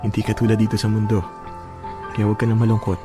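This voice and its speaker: A man playing a devoted, affectionate young husband in a Filipino radio drama, speaking in a gentle, reassuring way. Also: fairly low pitch, monotone, fast, natural voice. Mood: consoling. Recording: faint music behind the voice.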